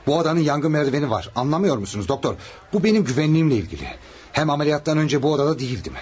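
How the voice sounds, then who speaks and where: An actor playing an anxious, demanding hospital patient of some importance in a Turkish radio drama. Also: male voice, a little high, sing-song, very fast, a little mellow, slightly rough, exaggerated voice. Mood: agitated, insistent. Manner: wary, insistent, imperious. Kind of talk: Turkish radio theatre acting. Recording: noisy old radio drama recording.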